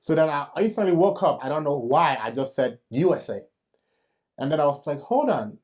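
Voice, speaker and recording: A man's voice: fairly high pitch, sing-song, darkish tone. Earnest man telling his story. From Cameroon. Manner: earnest storytelling, halting with fillers and restarts. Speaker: host. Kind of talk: personal faith testimony.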